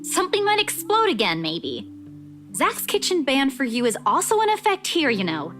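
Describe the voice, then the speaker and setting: A woman's voice: high-pitched, wildly swooping pitch, moderate pace, a bit bright, soft background music, pitched above the performer's own voice, exaggerated voice. A woman voicing a bossy, teasing young woman with a playful scolding manner in acted visual novel dialogue.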